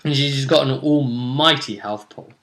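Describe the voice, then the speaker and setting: Male game master, bright edge to the voice, chatty and rambling tabletop recap. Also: medium pitch, normal speed. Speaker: game master and show host.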